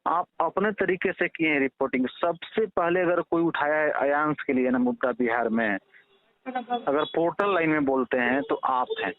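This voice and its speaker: A man's voice: a little high, moderate pace, dry recording. A man with a Bihari accent in a casual phone conversation, speaking in a conciliatory way and repeating himself for emphasis. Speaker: father of a child at the centre of a public appeal. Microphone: phone call. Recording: tinny, narrow-band phone line recording.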